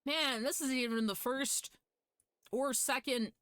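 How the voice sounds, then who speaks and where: A woman's voice: sits high, a little slow, fairly bright tone, no echo, clean studio sound. A woman livestreaming a game, reading its text aloud and adding casual, hesitant commentary.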